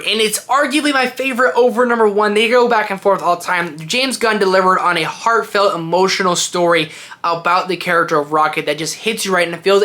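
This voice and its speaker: Male review host: bright, punchy voice, casual and opinionated, loose rambling delivery with emphasis. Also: high voice. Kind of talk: casual opinionated movie ranking to camera.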